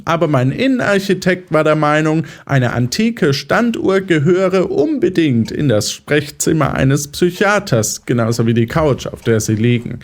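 A condescending male psychiatrist played by a man in an audio drama, with a fairly high voice. He explains in a smug, measured way, with very lively intonation. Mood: explaining. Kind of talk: German audio drama acting.

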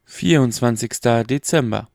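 A man's voice: mid-range voice, normal speed, creaky, darkish tone. A man giving terse scripted announcements as the narrator between scenes. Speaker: announcer of dates.

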